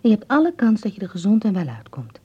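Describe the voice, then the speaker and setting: A woman acting a gentle, worried mother in a radio play, speaking softly and soothingly in a husky, breathy voice. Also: medium pitch, huge pitch swings, fast talker, heavily acted. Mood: soothing, reassuring. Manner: soft, soothing, hesitant. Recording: muffled old radio play recording.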